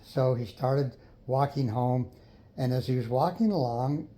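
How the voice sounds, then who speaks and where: An older man improvising a children's story in a folksy, unhurried way, pausing for others to join in. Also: mid-range voice, warm tone. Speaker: grandfather storyteller.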